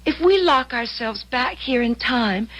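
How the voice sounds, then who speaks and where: A woman's voice: on the high side, animated, unhurried, fairly bright tone, muffled recording. An actress playing an earnest, anxious but loyal young woman traveling through time, in old-time radio drama style. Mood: grave, resolved.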